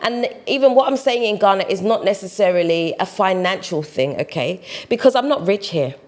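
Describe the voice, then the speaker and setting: A woman's voice: medium pitch, lively intonation, fairly quick. A young woman from the UK, talking casually to camera as a vlogger, often tagging her sentences with "right?" and "you know". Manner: chatty, peppers talk with "right?" and "you know".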